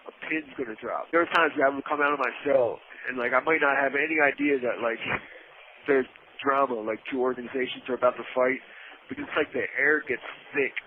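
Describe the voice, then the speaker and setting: A man's voice: medium pitch, brisk, a little hiss or hum. A man telling stories casually and matter-of-factly over a phone line from prison, informal and prone to swearing. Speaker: podcast co-host calling from prison. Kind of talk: casual first-person storytelling. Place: inside a prison, on a phone call. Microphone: telephone handset.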